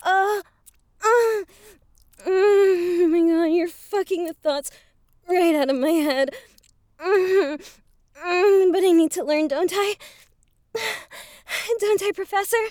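A woman playing an eager adult university student in an intimate audio roleplay, breathy and pleading. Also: very high voice, measured pace, long pauses, darkish tone, no echo, studio quality. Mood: breathless pleading.

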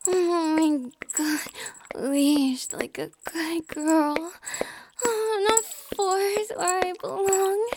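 A young adult woman performing an intimate audio roleplay, whispering softly with breathy sighs and a pleading, submissive delivery. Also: extremely high pitch, slight background noise.

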